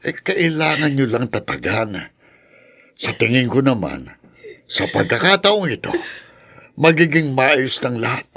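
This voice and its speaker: A man's voice: mid-range voice, very animated, a little slow, long pauses, a bit bright, heavily acted. An actor playing a kindly man who reassures a worried woman, in a radio drama. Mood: reassuring. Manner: comforting, fumbling with fillers. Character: kindly older brother-figure Dado, reassuring.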